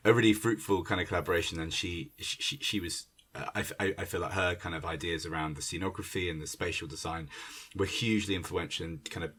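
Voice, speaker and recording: Husky, low-voiced man talking haltingly. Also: a bit bright. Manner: hesitant, searching, with frequent stumbles and repeated words. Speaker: theatre director. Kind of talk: unscripted conversation introducing a creative team. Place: remote internet call. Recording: online call recording.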